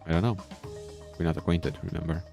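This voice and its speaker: A serious, unusually mature teenage classmate speaks in a calm, level tone, as a man reads his lines. The voice is deep and gravelly. Game music plays behind him. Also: a little fast. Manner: calm and even. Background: background game music.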